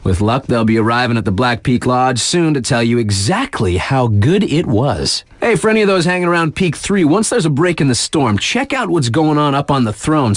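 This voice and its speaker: A young, easygoing snowboard-town radio DJ for a video game, a man with a North American accent. His delivery is casual and wry, with drawn-out emphasis and lively swings in pitch. The voice sits in a medium male register and sounds close and dry. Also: no echo. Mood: laid-back, hyped. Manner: casual, tongue-in-cheek, drawling emphasis. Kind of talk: scripted radio DJ patter.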